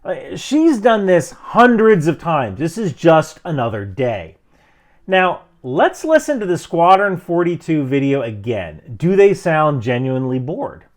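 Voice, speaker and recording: A man's voice: a little high, big pitch swings, unhurried. A middle-aged American man giving emphatic, instructive commentary as a video host. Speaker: video host, military veteran. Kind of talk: explanatory commentary to camera.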